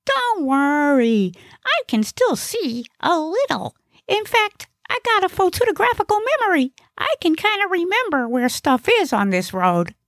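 A woman's voice: very high-pitched, sing-song, measured pace, fairly bright tone, no echo, studio quality, exaggerated voice. A woman voicing a dim, gluttonous, carefree furry male creature in a comic audiobook, chattering in childlike mangled words, blithely oblivious. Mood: blithely unconcerned. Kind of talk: comic audiobook character acting.

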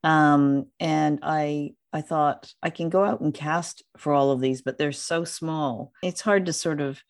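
A woman's voice: deep voice, steady tone, a little slow, no echo, studio quality. A woman interviewing a guest as podcast host, in a conversational style with hesitant phrasing.

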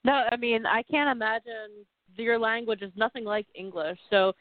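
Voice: medium pitch, steady tone, normal speed, no echo. An interview host talking casually, enthusiastic and encouraging toward the guest. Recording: phone-like narrow sound, dry.

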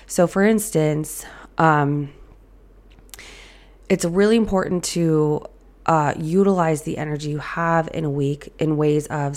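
A woman hosts her podcast in a loose, chatty way, talking on with plenty of ums and restarts. Her voice is low, raspy and gravelly with fry, hoarse after being ill. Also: a little mellow. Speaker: podcast host. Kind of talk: casual solo podcast talk.

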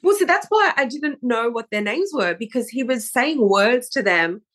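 A woman's voice: a little high, expressive, moderate pace, fairly bright tone. A woman, chatting casually as co-host of a TV review. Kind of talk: casual chat.

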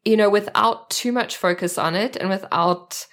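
A woman's voice: mid-range voice, moderate pace, fairly bright tone. A woman with a South African accent chatting as a podcast guest, thinking aloud as she talks. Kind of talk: casual interview chat.

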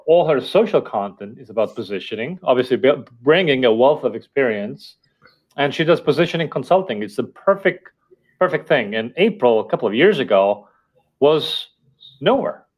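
Podcast guest, male, Estonian native, reflective and halting, mid-range voice. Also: medium pitch, expressive, muffled recording. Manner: thoughtful, hesitant with fillers and restarts. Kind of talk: casual interview talk.

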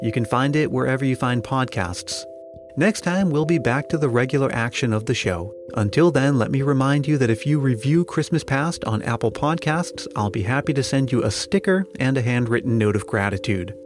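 A man's voice: mid-range voice, lively intonation, normal speed. A man hosting a podcast in a polished, courteous, scripted manner. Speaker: podcast host, interviewer. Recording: music under the voice. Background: music.

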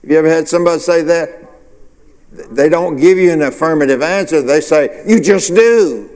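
A man's voice: a little high, normal speed, a little mellow, in a large hall. A male pastor preaching a sermon, asking the congregation questions and answering them himself. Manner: preacherly call-and-response, rhetorical questions.

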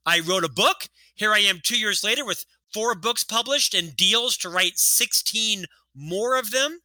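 A man's voice: high voice, unhurried, bright, forceful tone, no room sound, low-quality recording. Man in his fifties who stutters. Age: 50s. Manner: earnest storytelling, lectures with statistics. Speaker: author and podcast guest. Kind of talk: casual interview talk.

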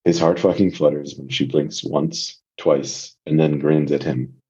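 A man's voice: rumbling low, fairly even intonation, unhurried, mellow, slightly rough, lo-fi sound. A man reading and talking as a guest story reader, in narration and casual discussion.

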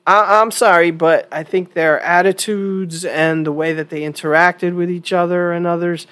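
A woman's voice: lowish voice, steady tone, normal speed, a bit bright. A woman hosting her own opinion podcast in a casual, chatty monologue, sounding stuffy from a cold and repeating points for emphasis. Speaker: podcast host.

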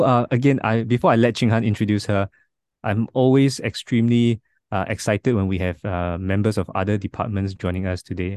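A man's voice: lowish voice, darkish tone, dry recording, studio quality. A man in his forties hosting a podcast, talking casually with many restarts. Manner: halting, many restarts and fillers. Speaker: podcast host.

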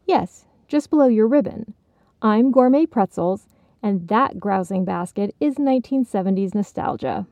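A woman voicing a gentle, welcoming male pretzel gift basket in a story, soothing and patient. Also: mid-range voice, lively intonation, moderate pace, dry recording, close to an everyday voice.

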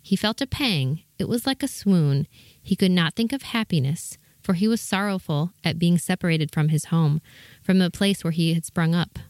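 A woman's voice: lowish voice, moderate pace, a little mellow, no echo. A woman reading scripted storybook narration as the narrator of a fairy tale.

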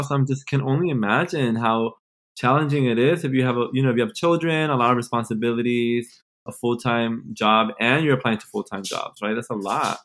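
A man's voice: mid-range voice, vocal fry, a bit bright. A man in his twenties from Mexico, Los Angeles and Nashville, talking casually as a podcast guest in an informal, playful, slangy way. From born in Mexico, grew up in Los Angeles and Nashville. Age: 20s. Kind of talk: casual conversational interview.

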